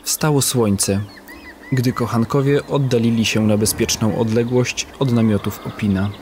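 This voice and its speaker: A man's voice: mid-range voice, steady tone, moderate pace, darkish tone, slight background noise. A man narrating a scripted legend in a literary, dramatic storytelling manner.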